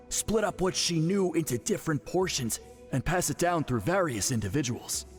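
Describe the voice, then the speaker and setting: A man's voice: fairly high pitch, darkish tone. A man playing a frank, loyal young shaman disciple, earnest and a little defensive, in a video game. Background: background music.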